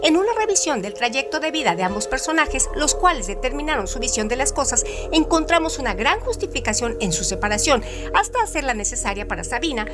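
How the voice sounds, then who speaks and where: A woman presenting a radio program, reading literary trivia questions from a script in an expressive way. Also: high voice, a little fast, few pauses. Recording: music bed under voice. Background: background music.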